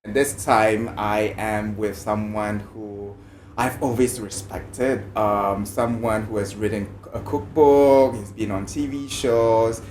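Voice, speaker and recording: A man from Malaysia, hosting a podcast in a lively, playful way. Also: fairly low pitch, a little hiss or hum. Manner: lively and playful, quick to laugh. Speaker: podcast host. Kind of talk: podcast intro and interview.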